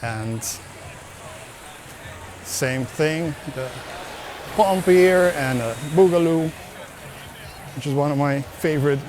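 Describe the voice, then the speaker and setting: Slow easygoing man walking a beach. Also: a little high. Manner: unhurried, easygoing, repeats words while strolling. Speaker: travel vlogger. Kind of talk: casual walking vlog narration. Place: outdoors on a beach at sunset. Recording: outdoor beach recording with surf noise. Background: waves and surf.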